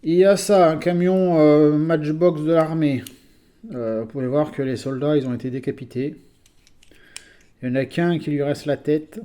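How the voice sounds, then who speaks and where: A man's voice: a little high, steady tone, normal speed, dark tone. A man from France presenting his toy vehicle collection in a casual video, chatty and full of hesitations. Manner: chatty, hesitant with many fillers.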